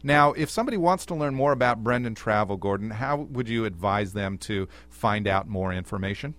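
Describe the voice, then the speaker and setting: A man's voice: on the low side, expressive, a little fast, a bit bright. A man hosting a radio talk show, asking direct questions in an upbeat way and introducing his guest.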